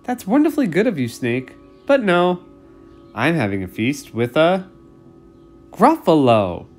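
A storyteller as a cheeky little mouse, lively pitch. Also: measured pace, faint music behind. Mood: polite. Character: small, clever, boastful brown mouse, cheeky and polite.

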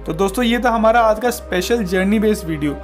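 A man's voice: high-pitched, brisk, soft background music. A man hosting a travel channel, reading a scripted voice-over in an upbeat, recommending tone.

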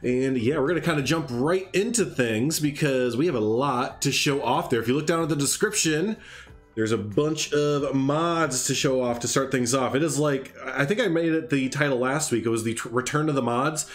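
A man's voice: mid-range voice, normal speed. A man with a congested, nasal voice hosting a casual live stream, chatting in a rambling way with lots of fillers. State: congested, stuffed up. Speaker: streamer hosting a game showcase.

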